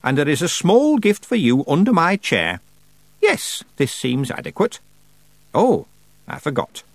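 A man's voice: on the high side, wildly swooping pitch, unhurried, gravelly, dry recording, pitched above the performer's own voice, full character voice. A man voicing a proud, bossy, mischievous very old woman in an audiobook, with a theatrical, scolding manner. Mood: brisk, matter-of-fact. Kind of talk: audiobook character voice.

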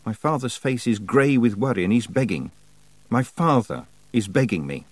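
A man's voice: mid-range voice, animated, normal speed, no room sound, lightly acted. A man voicing an anxious but resolute thirteen-year-old girl witch, earnest and determined, in an audiobook.

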